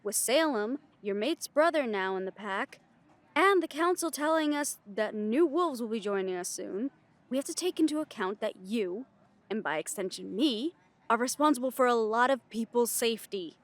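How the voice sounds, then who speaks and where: A woman's voice: fairly high pitch, animated, moderate pace, natural voice. A woman playing a cautious, frank werewolf second-in-command in an audio drama, with a questioning, dryly sardonic manner. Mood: wary, serious. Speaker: voice actor in audio roleplay. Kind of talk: scripted audio roleplay drama.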